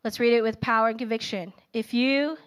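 Animated woman preaching on a church stage. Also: on the high side, brisk. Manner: animated and personal, filler-heavy storytelling. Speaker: speaker at a young adults' service. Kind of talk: conversational sermon. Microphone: handheld microphone.

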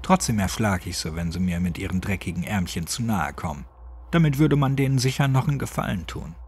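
Man voicing a gruff, crude old villager. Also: low-pitched, expressive, a little fast, darkish tone, heavily acted. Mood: grumbling contempt. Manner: colloquial, clipped, gruffly chatty. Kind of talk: horror audiobook character dialogue.

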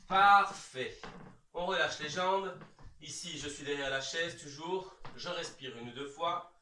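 A man in his thirties giving calm, reassuring step-by-step instructions as an exercise coach, recorded in a large bare room from several metres away. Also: a little high, unhurried, slight background noise. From Belgium. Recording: echoey room, distant microphone.

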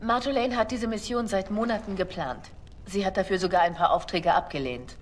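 A woman's voice: medium pitch, fairly quick, a little hiss or hum, close to an everyday voice. An actress voicing a young female spy in a video game, earnest and questioning, loyal but worried. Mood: uneasy, earnest. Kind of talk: dramatic video game dialogue.

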